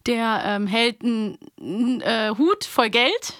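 A woman co-hosting a casual audio podcast, chatty and quick to laugh, on a close studio mic. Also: fairly high pitch, expressive, a bit bright, dry recording. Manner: chatty and giggly. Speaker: podcast co-host. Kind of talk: casual chat.

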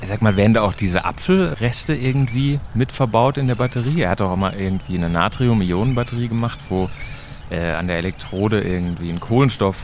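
A man's voice: lowish voice, brisk, a little mellow, slight background noise, natural voice. A man playing an inquisitive, easygoing time-travelling battery expert in an audio drama, speaking casually and a little hesitantly. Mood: interested. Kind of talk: amateur educational audio drama dialogue.

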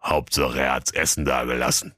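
A man voicing a huge, greedy male dragon who talks in a slow, unbothered drawl, in a children's storybook reading. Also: extremely low pitch, steady tone, pushed and bright, no room sound, raised voice, studio quality, voice pushed down. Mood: smug.

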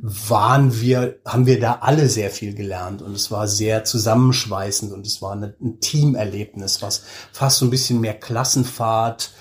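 A man's voice: lowish voice, fairly even intonation, normal speed, hardly any pauses. A man speaking casually and anecdotally as an interview guest, with a colloquial, self-deprecating way of talking. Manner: colloquial, self-deprecating, acts out quoted remarks. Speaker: interview guest, audio drama director.

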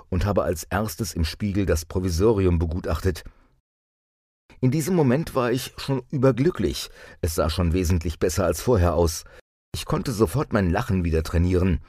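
A man reading a scripted first-person testimonial as a narrator, in a measured way. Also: deep voice, dark tone, no room sound, studio quality.

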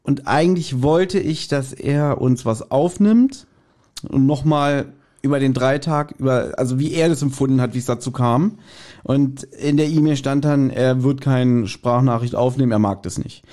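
A man's voice: medium pitch, moderate pace, dark tone. A man hosting a podcast, casually talking through background details of audio dramas. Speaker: podcast host.

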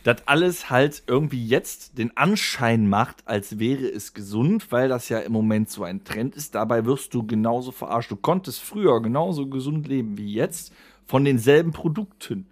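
Man, average pitch, casual podcast banter, animated mock-exasperation. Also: normal speed, no room sound. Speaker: podcast co-host. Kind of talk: casual banter among friends.

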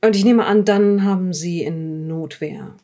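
A woman playing a composed, skeptical doctor in an audio drama, questioning in a measured, probing, incredulous way. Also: on the low side, mellow, natural voice. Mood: measured, guarded. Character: composed, skeptical female doctor questioning a patient and taking notes. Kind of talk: improvised audio drama dialogue.

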